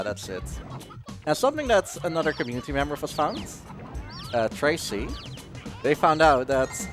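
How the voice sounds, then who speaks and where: A man giving casual live commentary on his own speedrun, explaining in a halting way and often interrupting himself. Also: medium pitch. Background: video game music.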